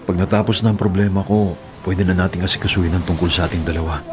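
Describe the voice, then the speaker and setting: An actor as a low-voiced, earnest Filipino young man. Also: level tone, quick pace, natural voice. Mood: tender. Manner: earnest, hesitant, emotive delivery. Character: devoted, struggling older brother and gentle sweetheart. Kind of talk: Tagalog radio drama acting. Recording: music under the voice.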